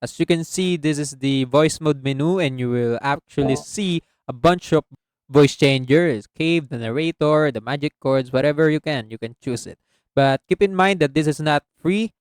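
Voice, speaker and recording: A man hosting a casual software tutorial as a voice-over. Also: on the high side, normal speed, smooth, no room sound. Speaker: tutorial host. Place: close, dry indoor voice-over recording.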